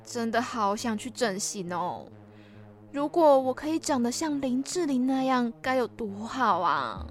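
Highish self-loathing young woman, acted. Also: sing-song, a little slow, faint music behind. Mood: despairing. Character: self-loathing young student's anxious inner voice. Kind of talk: radio drama monologue.

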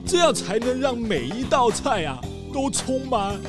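A woman playing a genial, unhurried panda restaurant cook in a children's audio drama, soothing and drawn out. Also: on the high side, sing-song, music in the background. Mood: patient, proud.

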